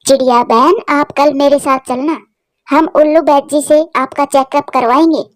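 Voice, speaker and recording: High-voiced, sisterly cartoon pigeon voiced by a woman. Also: steady tone, moderate pace, dry recording, studio quality, close to an everyday voice. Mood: encouraging. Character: helpful, sisterly female pigeon friend. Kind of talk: Hindi cartoon story dialogue.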